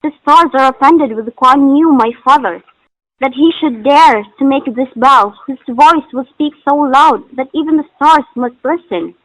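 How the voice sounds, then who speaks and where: High-voiced anxious young daughter, played by a woman. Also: normal speed, dry recording, close to an everyday voice. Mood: earnest, respectful. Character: young devoted daughter of a bell maker, anxious and pleading. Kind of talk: stylized stage drama recital of formal archaic lines. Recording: muffled phone-like audio.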